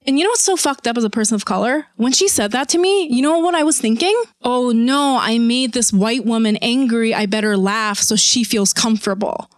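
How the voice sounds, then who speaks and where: A higher-voiced woman recounting a story on a podcast, swearing freely and slipping into voices for the people she quotes. Her delivery is animated and the sound is dry and close. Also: brisk, hardly any pauses, dry recording. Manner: animated, profane, acts out quotes. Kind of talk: casual storytelling chat.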